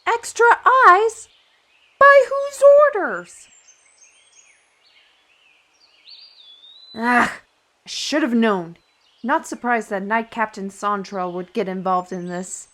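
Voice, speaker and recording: A woman's voice: fairly high pitch, very animated, slow, pushed and bright, exaggerated voice. A woman playing a wry, learned artisan steward in a fantasy audio roleplay, talking conversationally and instructively to the listener. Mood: exasperated, resigned.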